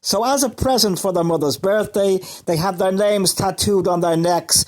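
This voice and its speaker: A man playing an excitable, naive son in a radio comedy, boyish and eager, with an Irish accent. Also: high-pitched, normal speed, close to an everyday voice. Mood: excited, proud. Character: excitable, naive son proud of his new job. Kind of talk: comedy radio drama acting.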